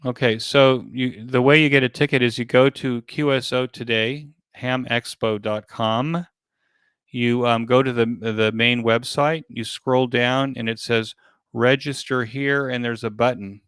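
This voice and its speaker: A man being interviewed as an event organizer, giving an informal step-by-step explanation in a halting, repetitive way. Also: mid-range voice, fairly even intonation, fairly bright tone, smooth, no room sound, clean studio sound.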